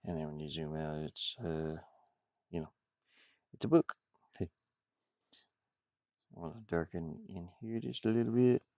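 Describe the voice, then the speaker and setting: A man's voice: low voice, a little slow, long pauses, dry recording, dull audio. A man giving casual, rambling commentary while he works on game art, muttering playfully to himself and repeating words. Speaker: indie game developer streaming.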